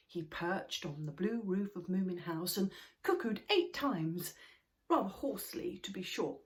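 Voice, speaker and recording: A woman narrating a children's story expressively and deliberately, sometimes dropping into a hush. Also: medium pitch. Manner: expressive, deliberate, with hushed passages.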